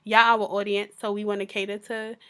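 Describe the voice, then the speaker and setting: Raspy-voiced woman co-hosting a podcast. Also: mid-range voice, flat delivery, brisk, a bit bright. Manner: chatty, laid-back, frank. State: raspy from a sore throat. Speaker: podcast co-host. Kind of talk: casual chat.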